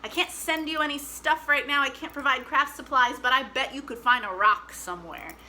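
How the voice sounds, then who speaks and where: A woman hosting a children's storytime, chatty and animated, speaking directly to young children. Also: high-pitched, normal speed, a little hiss or hum, poor audio.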